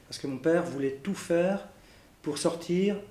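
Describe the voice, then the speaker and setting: A man's voice: on the high side, dark tone. Thoughtful man, echoey room. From Belgium. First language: Arabic. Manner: thoughtful, with many "euh" pauses and listing. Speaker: interviewee. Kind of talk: reflective personal testimony.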